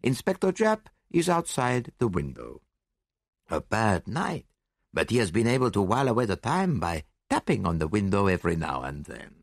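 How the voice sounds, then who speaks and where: A man voicing a shrewd, self-assured foreign detective in an audiobook, speaking briskly and precisely with little interjections. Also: lowish voice, huge pitch swings, gravelly, no room sound, studio quality, exaggerated voice. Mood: brisk, pleased.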